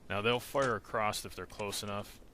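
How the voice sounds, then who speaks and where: Low-voiced man narrating a game walkthrough. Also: steady tone, normal speed, pushed and bright, slight background noise. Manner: plain step-by-step instructional.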